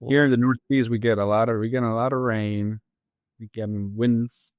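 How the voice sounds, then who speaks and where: A man's voice: mid-range voice, fairly even intonation, moderate pace, smooth, dry recording. Earnest man. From Latin America. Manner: hesitant, many fillers, earnest. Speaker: guest, engineer. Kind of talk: interview answers.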